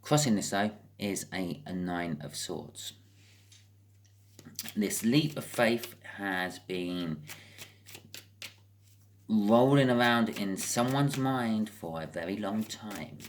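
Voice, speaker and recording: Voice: low voice, expressive, slow pace, pauses often, a little hiss or hum. A British speaker giving a casual, improvised tarot card reading as host, chatting and thinking aloud with wry asides.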